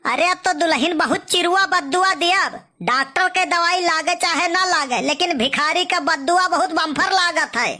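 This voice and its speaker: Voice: extremely high pitch, normal speed, hardly any pauses, pushed and bright. A performer playing a wily, wheedling old male beggar in a village cartoon comedy, boastful, with an Awadhi accent. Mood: indignant, sly. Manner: sing-song blessings, wheedling, boastful.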